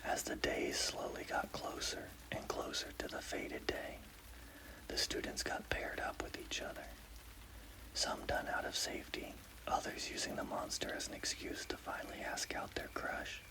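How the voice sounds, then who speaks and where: A man narrating a scary story as whispered ASMR, in a hushed, deliberate, breathy voice with a gravelly creak. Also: rough recording.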